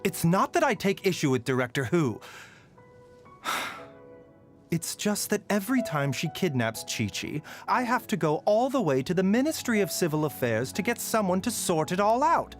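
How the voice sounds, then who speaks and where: Courteous game pharmacist, lilting voice. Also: male voice, sits high, very animated. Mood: weary, exasperated. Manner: polite, measured, mildly teasing phrasing. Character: soft-spoken, courteous male pharmacist, gently wry and weary. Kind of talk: video game character voicelines. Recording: voice over background music.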